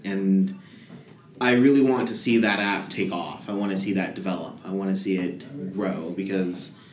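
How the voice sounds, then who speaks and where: A low-voiced man tells his story with eager, rambling enthusiasm as a podcast guest. He sounds like he is in an echoey room with a faint hum of ambience behind him. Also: fairly even intonation, normal speed. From Denver area. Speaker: guest, hackathon winner. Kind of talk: casual podcast chat.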